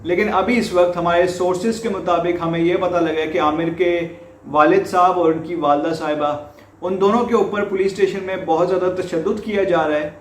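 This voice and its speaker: A high-pitched male voice with a Pakistani accent, recorded in an echoey room. He presents news commentary in an explanatory way, restating key points for emphasis. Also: steady tone, a little fast, slight background noise. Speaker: host presenting a news report.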